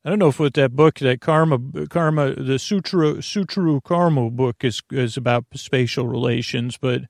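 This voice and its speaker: Creaky-voiced man rambling at bedtime. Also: mid-range voice, no room sound, clean studio sound. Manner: meandering and halting, trails off and restarts sentences. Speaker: podcast host. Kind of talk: rambling, off-topic bedtime monologue.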